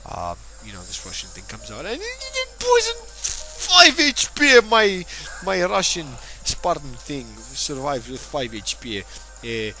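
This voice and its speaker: A man's voice: fairly high pitch, huge pitch swings, a little slow, rough recording. A tipsy man giving casual, rambling play-by-play commentary on a game, joking and swearing as he goes. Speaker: gameplay commentator. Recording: voice over loud game music. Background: game music.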